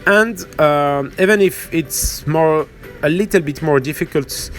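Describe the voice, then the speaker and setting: This is a man with a French accent, a native French speaker, talking at an unhurried pace in a slightly high voice. He hesitates and gropes for words as he hosts an informal hands-on tutorial. Soft music plays underneath. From France or French-speaking region. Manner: hesitant, halting, searching for words. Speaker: miniature painting tutorial host.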